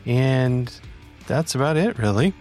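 A man's voice: medium pitch, expressive, a little slow, a little mellow, faint music behind. A man hosting a podcast, curious and conversational as he introduces the episode and asks questions. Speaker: podcast host.

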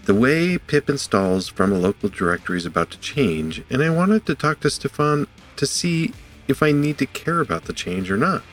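A man's voice: mid-range voice, very animated, normal speed, a bit bright, soft background music. A man hosting a podcast, curious and conversational as he introduces the episode and asks questions. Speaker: podcast host.

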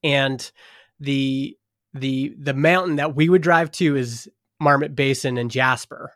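A man's voice: medium pitch, fairly even intonation, takes it slowly, fairly bright tone, dry recording, clean studio sound. A man from northern Alberta with a Canadian accent, chatting casually as a guest on a podcast. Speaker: podcast guest, startup founder. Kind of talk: casual interview chat.

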